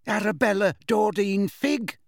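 A man's voice: high-pitched, measured pace, gravelly, no echo, clean studio sound, pitched above the performer's own voice. A man voicing a nervous, batty old woman in an audiobook, with a quavery voice. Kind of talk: audiobook character voice.

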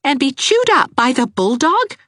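A brisk, sharp-tongued young woman giving crisp, sarcastic orders, as a woman reader plays her. Her voice rides a little higher than the reader's own with lively pitch, and the sound is dry and close like a storybook reading. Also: no room sound.

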